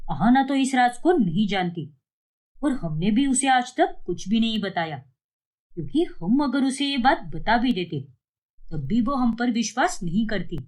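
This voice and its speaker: A woman's voice: on the high side, a little mellow. A woman voicing an indignant, heartbroken father in a cartoon, with an emphatic, scolding manner. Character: indignant, heartbroken father, adult man, accusing and forceful.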